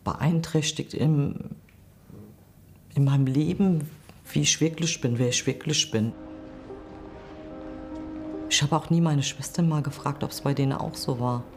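A woman in her forties giving a reflective, halting interview account. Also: low voice, darkish tone, soft background music. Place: quiet indoor room.

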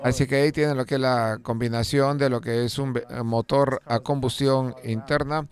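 A man narrating a car video as host in a relaxed, conversational voice-over, with frequent fillers and restarts. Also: medium pitch, moderate pace, a crowd in the background. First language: Spanish; lived in England over sixteen years. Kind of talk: unscripted voice-over commentary.

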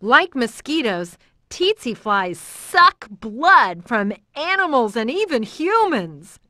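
A woman's voice: fairly high pitch, very animated, slow pace, sharp tone, no room sound, clean studio sound. A woman narrator giving a scripted educational narration for children.

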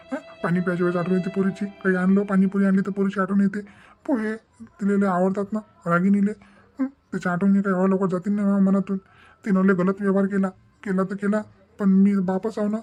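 A man's voice: sits high, dark tone, lightly acted. A man with a Vidarbha Marathi accent playing a hurt, sentimental middle-aged father in a cartoon family drama, grumbling plaintively.

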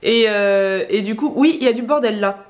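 A woman's voice: fairly high pitch, normal speed. A young woman chatting casually to camera in her home kitchen, explaining breakfast as she goes. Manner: chatty, lively, explains while cooking. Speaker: vlogger co-host.

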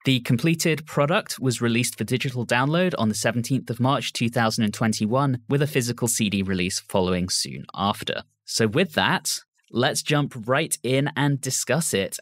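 A man's voice: mid-range voice, fairly bright tone, no echo. A man hosting a podcast, presenting prepared background notes and leading the discussion. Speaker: podcast host. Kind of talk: scripted podcast introduction and discussion.

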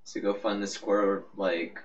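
A man's voice: lowish voice, little pitch movement, a little slow. A man giving a casual, rambling, offhand game walkthrough as the host of a gaming video. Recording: muffled, noisy, distant-sounding microphone.

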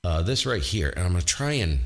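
A man with a boomy borderline baritone voice, casually walking through his ham radio setup at home and explaining what he hears. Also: fairly quick, faint music behind. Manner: relaxed, explanatory, thinking aloud. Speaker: ham radio operator and host. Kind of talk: casual technical walkthrough.

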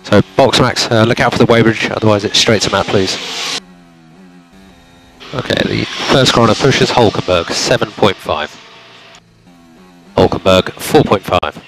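A man working as a race engineer, giving calm, clipped instructions to a driver over team radio. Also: lowish voice, moderate pace, long pauses, faint music behind. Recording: noisy team radio, car engine sound.

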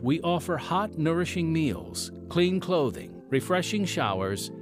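A man delivering dramatic scripted narration as the announcer of a radio drama. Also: mid-range voice. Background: music.

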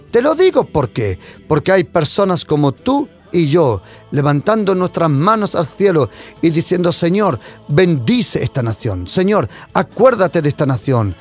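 Impassioned male preacher, lively pitch. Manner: impassioned, swings between loud declarations and hushed asides. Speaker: pastor. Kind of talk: preaching sermon.

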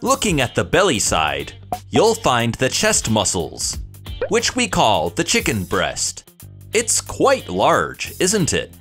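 A man's voice: medium pitch, wildly swooping pitch, slow, a bit bright, faint music behind. A man delivering scripted educational science narration, explaining things in a presentational way to viewers. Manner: explanatory, presentational, addresses viewers with rhetorical questions.

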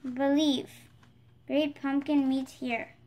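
A woman's voice: high voice, level tone, slow pace, darkish tone, slight background noise. A female channel host reading a picture book aloud. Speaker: storybook reader, channel host.

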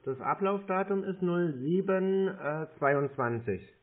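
A man on a phone call who plays along as a card holder, calmly reading out numbers one digit at a time. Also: high-pitched, takes it slowly. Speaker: caller posing as a card holder. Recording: narrow phone line.

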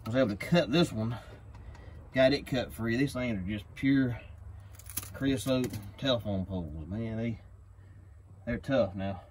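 A man's voice: mid-range voice, measured pace, pauses often, background noise. A man, chatting casually outdoors in a rural yard while he works to free an old bus, drawling and whooping. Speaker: vlogger pulling out an old bus. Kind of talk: casual work vlog.

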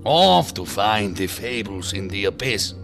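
Solemn sect leader, oratorical, low voice, faint music, science fiction radio play. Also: male voice, very animated, measured pace, gravelly, exaggerated voice. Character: solemn male leader of a secretive extremist group. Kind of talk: science fiction audio drama acting.

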